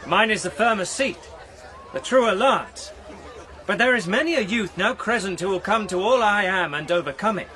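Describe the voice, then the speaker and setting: Noble weary knight, high forceful voice, solemn archaic declamation, radio drama, crowd and outdoor noise behind. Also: male voice, moderate pace. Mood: humble, grave. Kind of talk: dramatised classic verse, radio drama acting.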